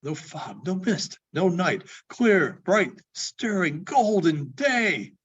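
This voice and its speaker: A man giving a dramatic reading of a story, theatrical, with pauses between phrases. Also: high-pitched, big pitch swings, fairly bright tone, breathy edge, highs cut off. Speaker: storyteller, librarian.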